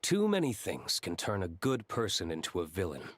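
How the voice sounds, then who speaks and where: A worldly-wise advisor in a video game. His voice is on the low side, calm and serious, weighing each point thoughtfully. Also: heavily acted. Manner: measured, thoughtful.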